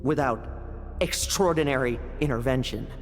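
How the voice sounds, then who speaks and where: A man voicing a cunning, power-hungry young schemer in a video game, with a teasing, smug, drawn-out delivery. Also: medium pitch, natural voice. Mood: coolly knowing. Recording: long reverb, music underneath.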